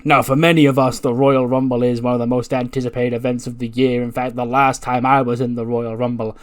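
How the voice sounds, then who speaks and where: A man acting out a resolute hero wrestler's promo speech, declamatory and deliberate, with dramatic pauses. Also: mid-range voice, flat delivery, close to an everyday voice. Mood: solemn, resolute. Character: showman hero wrestler solemnly addressing an arena crowd.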